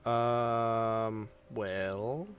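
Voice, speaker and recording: A man co-hosting a movie podcast, talking casually in a halting, noncommittal way. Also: mid-range voice, level tone, soft background music.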